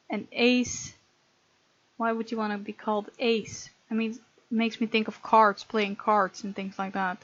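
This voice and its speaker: A woman's voice: a little high, a bit bright, dry recording, dull audio. A woman hosting a gaming video, reading game text aloud and breaking off to comment playfully.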